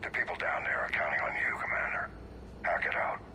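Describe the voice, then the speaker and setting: A man's voice: a little fast, background noise, lightly acted. A man playing a stern, authoritative commander delivering a terse, formal mission briefing in a video game. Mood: commanding. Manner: terse, formal, matter-of-fact.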